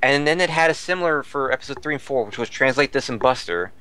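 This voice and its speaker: A man's voice: medium pitch, expressive, fast talker, pushed and bright, clean tone. A man talking casually as a podcast panelist, explaining things in a detailed, digressive way. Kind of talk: casual discussion, reporting figures.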